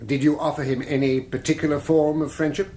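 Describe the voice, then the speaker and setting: Echoey, solemn English prosecuting barrister, played by an actor. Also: male voice, on the high side, flat delivery, darkish tone, natural voice. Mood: formal, probing. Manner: deliberate, formal, solemn. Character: grave, fair-minded, persuasive male prosecuting barrister. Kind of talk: courtroom oratory in radio drama. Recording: echoey courtroom sound, faint noise.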